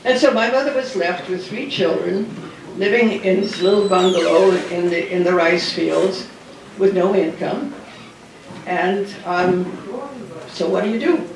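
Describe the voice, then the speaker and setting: Older man recalling the past, gravelly voice. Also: high-pitched, noisy background. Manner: halting, rambling, many restarts and fillers. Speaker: guest speaker. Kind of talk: personal wartime memoir talk. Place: large hall at a dinner event. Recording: echoey hall heard from a distance.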